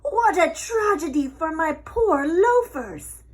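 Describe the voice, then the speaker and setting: A woman's voice: extremely high pitch, animated, takes it slowly, pitched above the performer's own voice, heavily acted. A woman voicing a boorish, smelly male pig in a storybook, hammy and snorting. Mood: indignant. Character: boorish, smelly male pig suitor, proud of his filth. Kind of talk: storybook character voice.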